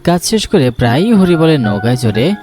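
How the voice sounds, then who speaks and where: A man's voice: fairly high pitch, big pitch swings, a little fast, a little mellow. A man narrating a scripted ghost story. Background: background music.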